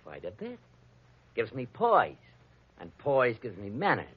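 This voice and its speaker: A raspy little henchman, swinging in pitch as he makes smugly courteous threats. It is old radio crime drama, with a dull, narrow sound. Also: wildly swooping pitch, measured pace, heavily acted. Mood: smug menace. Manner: taunting, mock-courteous. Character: menacing little gunman, smugly polite henchman. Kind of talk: 1940s radio acting. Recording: dull, band-limited old radio recording.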